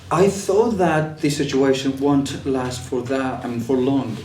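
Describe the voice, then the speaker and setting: A man's voice: medium pitch, animated, unhurried, a little mellow. Hesitant young man. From Syria. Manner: hesitant, many fillers and restarts. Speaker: refugee telling his story. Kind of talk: personal testimony. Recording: echoey room, mic at a distance.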